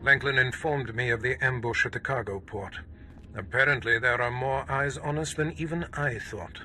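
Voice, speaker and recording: An actor playing a paranoid, commanding Sith lord in a video game, speaking gravely and formally. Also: male voice, medium pitch, normal speed, bright, forceful tone, low-quality recording. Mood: brooding, ominous. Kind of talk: dramatic video game acting.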